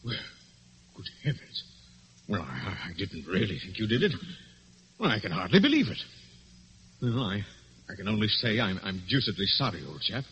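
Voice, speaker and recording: An actor playing a stuffy, gullible middle-aged police inspector with old-fashioned phrasing, in old-time radio drama style. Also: male voice, on the low side, very animated, moderate pace, long pauses, a bit bright. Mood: flustered, genial. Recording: dull, noisy vintage radio recording.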